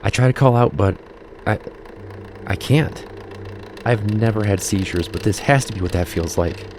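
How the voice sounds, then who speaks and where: An actor playing a frightened teenage boy, narrating haltingly in the first person in an audio drama. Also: on the low side, background noise, natural voice.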